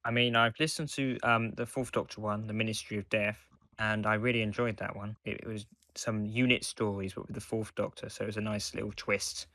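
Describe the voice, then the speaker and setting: A man co-hosting a casual fan podcast, talking in a halting, hesitant way as he reviews. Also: fairly low pitch, flat delivery, pushed and bright, no room sound. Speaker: podcast co-host.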